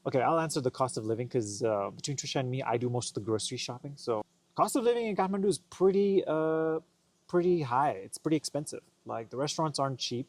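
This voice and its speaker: A man's voice: medium pitch, lively intonation, quick pace, a little mellow, no echo. A young man, a vlogger, answering viewer questions in a laid-back, joking way with some hesitant fillers. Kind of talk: casual Q&A chat.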